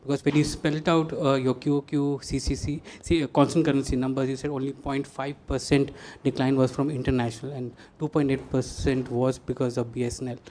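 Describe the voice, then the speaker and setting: Mellow Indian male reporter over background noise. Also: mid-range voice, little pitch movement, brisk, hardly any pauses. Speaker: business reporter. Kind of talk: asking questions at an earnings briefing. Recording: background noise and room ambience.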